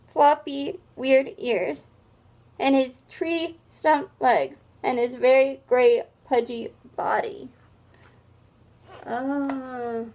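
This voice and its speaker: A woman reading a children's story aloud like a teacher, expressive, with simple explanatory asides. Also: on the high side.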